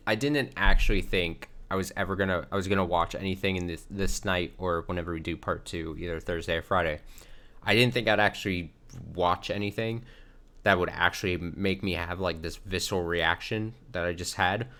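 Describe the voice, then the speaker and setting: A man's voice: low-pitched, normal speed, a bit bright. A man streaming live reaction commentary on game cutscenes, exasperated and sweary, often repeating his phrases. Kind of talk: live reaction commentary, reading subtitles aloud.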